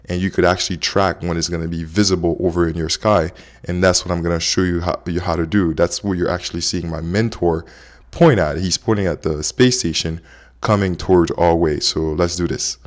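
A man's voice: low voice, steady tone, a little fast. A man in his thirties from Haiti, hosting a casual explainer vlog in a conversational way. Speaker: host, app co-founder.

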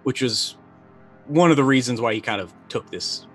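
A man in his forties playing a tabletop game, thinking aloud haltingly as he describes his character. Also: mid-range voice, fairly bright tone, soft background music. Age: 40s. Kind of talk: improvised third-person character description.

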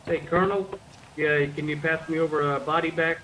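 A man's voice: a little high, fairly even intonation, fairly quick, fairly bright tone, rough recording. A man talking casually in character as a player in an online roleplay game. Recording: faint noise behind game voice chat.

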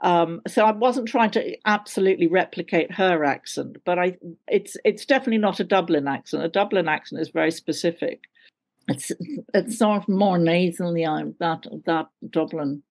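A woman's voice: lowish voice, moderate pace, creaky, a little mellow. An older woman with a London RP accent, chatting expressively in a casual interview over a video call from home. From London, England. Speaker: actor, interview guest. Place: small indoor room at home.

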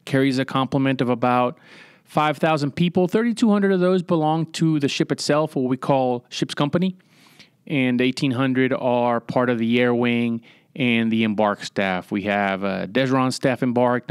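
A middle-aged man from Puerto Rico, a former Navy captain, giving measured, matter-of-fact answers as a podcast guest with frequent pauses and "uh" fillers. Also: mid-range voice, clear, resonant tone, no echo, studio quality. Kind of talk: conversational interview answers.

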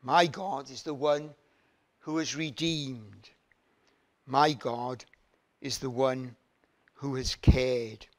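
A man's voice: mid-range voice, steady tone. An older man from Wales preaching a sermon at a church lectern, building emphasis through repeated phrases. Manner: slow, emphatic preaching with rising repeated phrases and hand gestures. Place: church hall, standing at a lectern.

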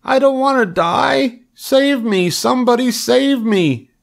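Man voicing a high-pitched, panicky young storybook pig. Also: expressive, gravelly, a bit bright, heavily acted. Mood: horrified, screaming. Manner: wailing, dramatic, drawn-out cries. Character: panicky young male pig, childish and pleading.

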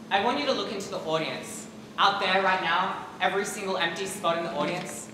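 Young guy acting as encouraging drama teacher in a school play, animated, big echoey hall, noisy far recording. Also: sits high. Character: encouraging, earnest male drama teacher directing rehearsal. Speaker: high school student actor. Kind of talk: amateur stage play acting. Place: theater stage in large auditorium. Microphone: far camera in audience. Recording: echoey auditorium, distant camera, loud room noise.

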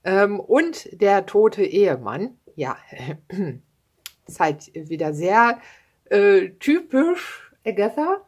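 Book vlogger, woman in her thirties, unhurried and rambling, lots of "ne?" and "ähm". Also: mid-range voice, sing-song, husky. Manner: chatty, many fillers and tag questions, self-deprecating laughs. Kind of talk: casual book chat. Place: home room with bookshelves, indoors.

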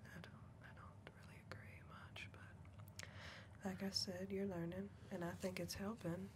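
A young woman playing a sharp-tongued, scornful backwoods niece in a dry, hushed ASMR whisper. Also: medium pitch, little pitch movement, unhurried, close to an everyday voice. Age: young adult. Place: dim indoor room, seated close to the mic. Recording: close mic with clear background hiss.

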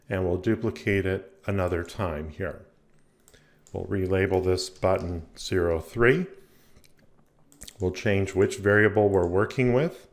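A man giving a step-by-step software tutorial in a methodical, explanatory way. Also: on the low side. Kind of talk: step-by-step screencast tutorial narration. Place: indoor voice-over recording for a screen capture.

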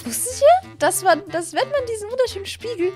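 Girl in her 20s doing a gushy lets play, really high voice, bit of music in the back. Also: a little fast. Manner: excitable, gushing, peppered with English words. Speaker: host of a gaming channel. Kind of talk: casual let's-play commentary addressed to viewers. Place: small home gaming room, indoors. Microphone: close desk microphone on an arm, with headset. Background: background music.

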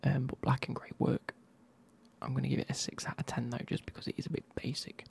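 A man, reviewing football kits in a soft, casual whisper with wry asides. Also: medium pitch, flat delivery, fast talker, gravelly, husky.

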